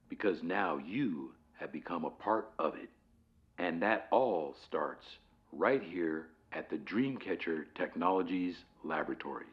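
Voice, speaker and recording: Slow, deep corporate training narrator played by a man. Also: muffled recording. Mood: measured, instructive. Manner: measured, formal, deliberate pauses. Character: calm, formal male corporate training guide narrator, eerily composed. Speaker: narrator of fictional company training guide. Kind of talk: scripted instructional narration, analog horror.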